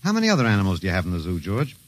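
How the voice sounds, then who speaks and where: An actor playing a bewildered, wry anthropology professor in a 1950s radio drama, talking in a hesitant, questioning, conversational way. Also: male voice, low voice, huge pitch swings, racing, no room sound, exaggerated voice. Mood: wry, resigned. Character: bewildered, wry male anthropology professor, last human alive. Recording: vintage radio broadcast recording.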